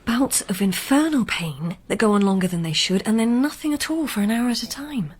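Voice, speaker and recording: Breathy, careful confidante. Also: female voice, on the high side, lively intonation, fairly quick. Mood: concerned. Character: gently probing, concerned female companion at court. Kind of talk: radio drama acting.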